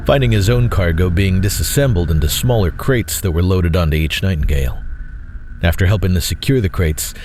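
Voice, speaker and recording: A man reading scripted dramatic fiction as narrator, in a measured, solemn way. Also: sits low, darkish tone. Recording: faint music bed behind voice.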